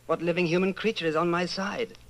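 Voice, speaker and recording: A man's voice: high-pitched, steady tone, fairly quick, fairly bright tone. An actor playing an earnest, troubled, solitary man in an old-time radio style drama. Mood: brooding. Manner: earnest, brooding.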